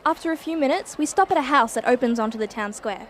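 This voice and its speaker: Curious, high-voiced young Australian time traveller. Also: female voice, expressive, fast, slight background noise. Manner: chatty, wide-eyed, informal. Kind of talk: radio play acting, breathless first-person narration.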